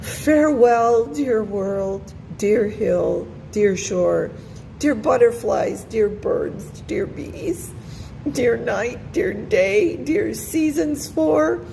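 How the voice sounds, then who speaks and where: Lady reading as a sweet innocent pig boy minstrel, higher voice, plaintive n singsong, storybook style. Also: gravelly, warm tone, slight background noise, rough recording. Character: innocent, emotional young male minstrel pig. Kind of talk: children's storybook character reading.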